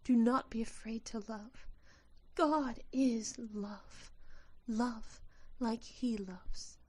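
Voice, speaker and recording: Woman as high, soft, gentle dying sylph. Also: fairly even intonation, extremely slow. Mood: loving, solemn. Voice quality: smooth, soft. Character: gentle, dying magical female sylph, serenely loving. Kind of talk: audio drama acting.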